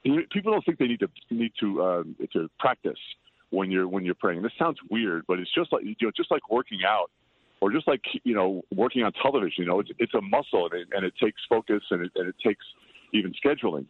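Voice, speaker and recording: An older man chatting as an interview guest, loud-voiced, rambling and self-deprecating. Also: on the low side, lively intonation, fast talker, husky, no room sound. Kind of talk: casual interview chat. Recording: tinny dry phone line.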